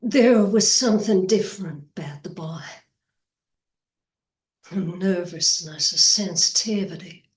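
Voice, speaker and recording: Fragile, haunted Southern woman, acted by a woman, theatrical monologue. Slow, halting, whispery delivery, echoing room. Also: on the low side, close to an everyday voice. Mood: regretful. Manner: halting, breathy, drifting into whispers. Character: fragile, haunted Southern woman recalling doomed young love. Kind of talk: dramatic stage monologue. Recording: echoey room.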